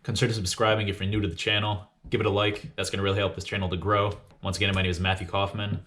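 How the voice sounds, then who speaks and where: A man's voice: fairly low pitch, little pitch movement, rapid-fire, a bit bright, slight background noise. A man in his twenties hosting a personal finance video, explaining tips to viewers in a casual, instructive, emphatic way. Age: 20s. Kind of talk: explanatory list-style talk to viewers.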